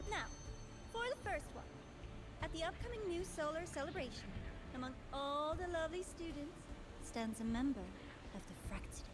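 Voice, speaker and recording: A gleefully sinister young villainess from a video game. Her voice is high and swings widely, coy and theatrical, over clear background music. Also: lively intonation, moderate pace. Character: playful, sinister young female immortal mastermind.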